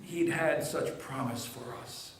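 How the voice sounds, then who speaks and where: A man playing an ancient woman disciple in a church monologue, emotional and halting, his voice breaking with tears. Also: medium pitch, a little hiss or hum, lightly acted. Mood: grieving. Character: grieving then overjoyed woman disciple, ancient. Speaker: church drama performer. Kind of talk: dramatic first-person monologue in church. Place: church sanctuary. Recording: echoey large church hall.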